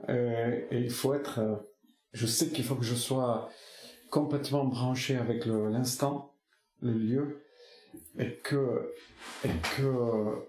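A middle-aged man whose first language is Basque, a singer answering interview questions slowly and thoughtfully, with many pauses and restarts. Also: mid-range voice, darkish tone, reverberant. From French Basque Country. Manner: slow, searching, many restarts and fillers.

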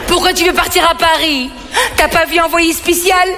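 A woman's voice: extremely high pitch, steady tone, moderate pace, heavy background noise, pitched above the performer's own voice. A woman comedian voicing a distressed, scandalised mother with a wailing, pleading delivery. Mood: tearful, scandalised. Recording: hall reverb with music behind.

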